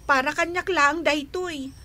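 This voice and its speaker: An actress playing a selfish, mouthy young daughter in a radio drama. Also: female voice, high-pitched, fairly even intonation, unhurried, sharp tone. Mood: defiant, whiny. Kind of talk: Ilocano radio drama acting.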